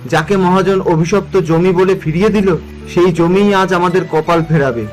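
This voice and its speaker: A man's voice: high voice, steady tone, normal speed, a little mellow, lightly acted. A man playing a humble, pious poor farmer in a cartoon moral story, speaking earnestly and plainly. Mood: earnest, hopeful. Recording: music behind the voice.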